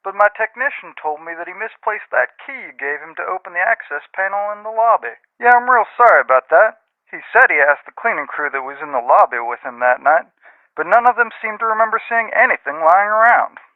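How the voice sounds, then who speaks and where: A man's voice: high-pitched, animated, fairly quick, no echo. A man voicing an easygoing, apologetic security repairman leaving a casual voicemail over a telephone in a video game. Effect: telephone filter. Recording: tinny narrow telephone voicemail line.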